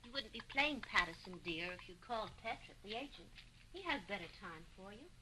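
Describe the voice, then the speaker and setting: An actress playing a gentle stage assistant. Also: female voice, mid-range voice, animated, brisk, noisy background, lightly acted. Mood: coaxing. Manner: gentle, coaxing. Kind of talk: 1920s early talkie film acting.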